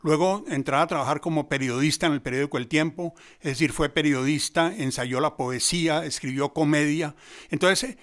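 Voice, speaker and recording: A man's voice: fairly high pitch, normal speed, a bit bright. A man commenting in an informal lecture style, listing points and posing rhetorical questions. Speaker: commentator on theatre history.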